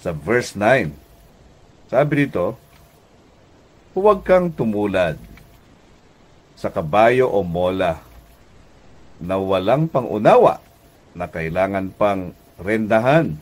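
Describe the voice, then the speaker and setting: Slow, low-voiced Filipino male pastor. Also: sing-song, a little mellow. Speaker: pastor and radio program host. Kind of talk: devotional radio sermon in conversational teaching tone.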